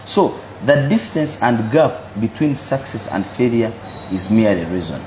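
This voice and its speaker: Ugandan man in his twenties, measured. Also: fairly low pitch, lively intonation, darkish tone, a little hiss or hum, low-quality recording. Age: 20s. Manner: measured, philosophizing, repeats "for me, I believe". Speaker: businessman guest. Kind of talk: interview answers.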